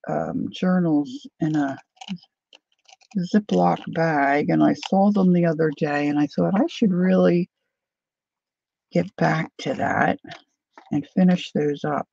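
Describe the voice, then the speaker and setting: A woman hosting a casual crafting live stream, chatting softly and unhurriedly with viewers while she cuts paper at her craft table. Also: deep voice, steady tone, slow, creaky, pauses often, breathy edge, lo-fi sound. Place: indoor craft table, overhead camera.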